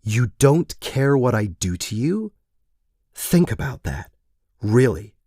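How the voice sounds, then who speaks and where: A man voicing a cool, amused woman handler, deliberate, condescending and menacing, in an audio story. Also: mid-range voice, big pitch swings, mellow, breathy edge, no room sound, clean studio sound, pitched above the performer's own voice, full character voice. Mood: coolly warning. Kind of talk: scripted short-story character voice.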